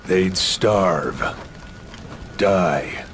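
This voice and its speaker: An actor playing a gruff, hard-bitten male warrior in a video game, gravelly and blunt. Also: sits low, drawn-out words, slight background noise. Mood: blunt, impatient. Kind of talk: scripted video game dialogue.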